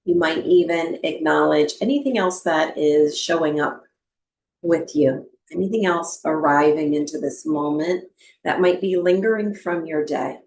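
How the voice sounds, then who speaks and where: A woman teaching yoga nidra and breathwork, giving guided meditation instructions in a gentle, soothing manner with long pauses. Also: deep voice, a little hiss or hum. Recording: roomy, echoing voice recording.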